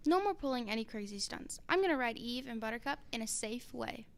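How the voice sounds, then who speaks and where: A teenage young woman playing a contented young cowgirl in a children's radio play, in a relaxed, simple manner. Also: fairly high pitch, a little fast, vocal fry. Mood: contented, resolved. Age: teens. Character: young cowgirl, reckless but now sensible and content.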